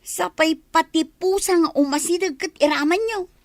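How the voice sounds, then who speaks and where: A woman's voice: extremely high pitch, normal speed, no room sound. A woman with an Ilocano accent playing a worried, nagging village wife in a radio comedy drama. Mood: scolding.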